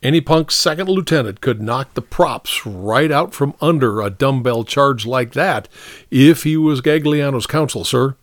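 A man's voice: medium pitch, measured pace, no echo, voice pushed up, close to an everyday voice. A man voicing a shrewd, unflappable first sergeant in an audiobook, plain-spoken yet formally respectful. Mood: steady, respectful. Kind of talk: audiobook character dialogue.

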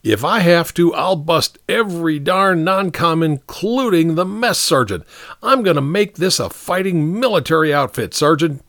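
A man's voice: on the high side, lively intonation, moderate pace, fairly bright tone, dry recording, clean studio sound, voice pushed up. A man voicing a strict, short-tempered, proud American army captain in an audiobook, giving clipped orders. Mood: stern, irritated. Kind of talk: audiobook character dialogue.

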